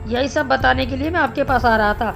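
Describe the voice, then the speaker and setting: A woman voicing a concerned male village doctor in an animated Hindi story, explaining things in a measured way. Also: sits high, creaky. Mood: grave. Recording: music behind the voice.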